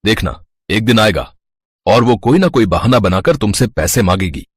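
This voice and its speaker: A man's voice: on the low side, lively intonation, normal speed, husky, no room sound, studio quality. A man voicing a stern, snobbish rich father who lectures his daughter in a cartoon story. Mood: scolding. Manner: lecturing, scornful of the poor. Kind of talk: Hindi cartoon voice acting.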